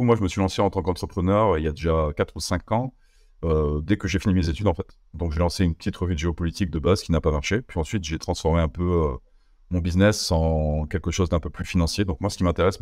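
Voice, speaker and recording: A young man from France talking as an interview guest, casual and halting, with many fillers and restarts. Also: low voice, darkish tone, no echo, studio quality.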